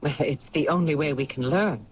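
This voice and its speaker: An actor playing a questioning police lieutenant in a 1970s radio drama. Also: male voice, fairly high pitch, moderate pace, close to an everyday voice. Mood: probing. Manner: probing, questioning. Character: probing male police lieutenant. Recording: dull lo-fi 1970s radio recording.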